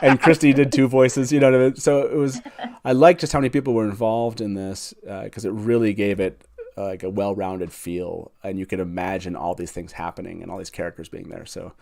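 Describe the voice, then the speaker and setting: A man's voice: on the low side, flat delivery, brisk, gravelly, darkish tone. Enthusiastic man hosting a livestream. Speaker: host of a fan livestream. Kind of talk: casual hosting chat. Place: live online video call.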